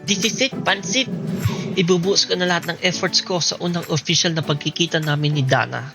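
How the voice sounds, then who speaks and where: A man's voice: a little high, brisk, lightly acted. A shy, insecure, lovestruck Filipino student, acted by a man in an amateur radio play. He speaks earnestly, with prominent music running behind him. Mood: smitten, eager.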